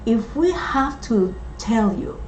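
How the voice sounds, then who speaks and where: A middle-aged woman from Puerto Rico, with a Puerto Rican accent and Spanish as her first language, talking in a slow, halting way with many fillers. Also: a little high, expressive, darkish tone, a little hiss or hum. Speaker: co-host. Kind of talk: casual chat. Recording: dull, echoey room recording.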